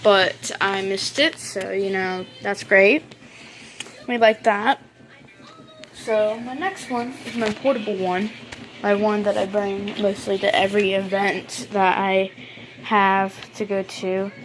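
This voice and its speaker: A woman vlogger doing a casual show-and-tell in a halting, informal way, on an amateur recording. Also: medium pitch, fairly even intonation, a little hiss or hum, poor audio. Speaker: vlogger showing a weather radio collection.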